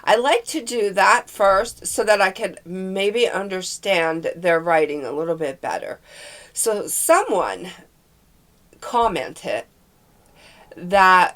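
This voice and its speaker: A woman's voice: mid-range voice, fairly bright tone. A woman with a cold and a congested, nasal voice, chatting and rambling about the books she is reading. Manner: rambling, chatty, full of fillers. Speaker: book vlogger. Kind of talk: casual chat about books.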